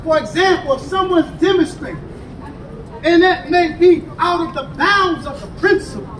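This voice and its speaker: High-voiced American man preaching on a street. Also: moderate pace. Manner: emphatic, rising to shouts, asks the crowd to respond. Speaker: street teacher. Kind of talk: preaching with call and response. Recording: echoey and distant, with crowd and traffic. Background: crowd responses and passing vehicles.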